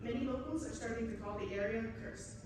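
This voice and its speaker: Across a noisy, very echoey hall, a brisk TV news reporter delivers her report as a woman acts her out. She is formal and slightly high-pitched, like an on-the-scene broadcast within a radio play. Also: far from the mic, close to an everyday voice. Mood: formal, urgent. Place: live stage at a comic convention.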